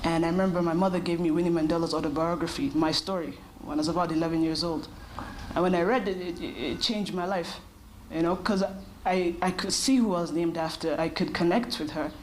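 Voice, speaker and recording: A woman in her thirties answers interview questions in a measured, reflective way. Her voice sits fairly low and her pace is unhurried. A faint noise hums behind her. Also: fairly even intonation, rough recording. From Kenya. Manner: thoughtful, measured, with short pauses. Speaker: interview guest.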